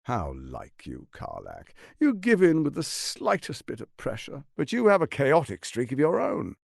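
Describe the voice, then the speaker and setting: A scheming male archduke for a video game, his delivery swinging dramatically from hushed lows to soaring highs. There is a gravelly creak under the silky, pompous menace. The recording is close and dry, every phrase placed with theatrical relish. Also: wildly swooping pitch, moderate pace, no room sound, exaggerated voice. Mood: cold menace. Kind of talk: video game character acting.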